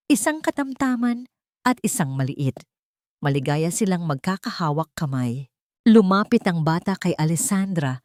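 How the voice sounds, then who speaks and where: Female storyteller, lowish voice, dry sound, measured dramatic delivery of scripted romance narration. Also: darkish tone, dry recording.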